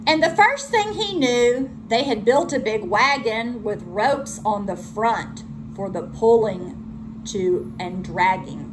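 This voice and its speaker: A woman narrating a children's storybook aloud in an expressive teacher's read-aloud style. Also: fairly high pitch, takes it slowly, background noise. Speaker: elementary school teacher reading aloud.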